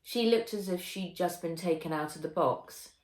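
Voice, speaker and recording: Low-voiced woman reading a children's story. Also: moderate pace, a little mellow. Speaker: author reading own novel aloud. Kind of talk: children's audiobook narration. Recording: roomy echo.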